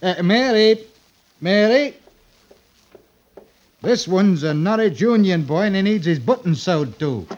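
Folksy, high-voiced shopkeeper. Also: male voice, expressive, a little slow, pauses often, a little mellow. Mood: brisk, obliging. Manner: folksy, busy, obliging. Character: brisk, chatty male clothing-factory shopkeeper in old England. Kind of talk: classic radio drama acting. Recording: muffled, noisy old radio broadcast.

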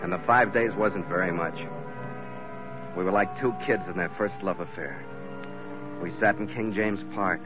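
A man's voice: sits low, moderate pace, pauses often, natural voice. An actor playing a tough, hot-tempered sea captain who narrates his own adventure in a terse, dramatic old-radio style. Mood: wistful. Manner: hard-boiled, terse, dramatic delivery. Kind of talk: 1940s-50s radio adventure drama acting with first-person narration. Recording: dull vintage radio recording, loud music behind.

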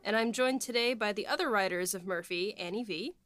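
A woman's voice: mid-range voice, fairly quick, a bit bright. A woman hosting a casual interview podcast, introducing and questioning in a conversational way. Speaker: podcast host.

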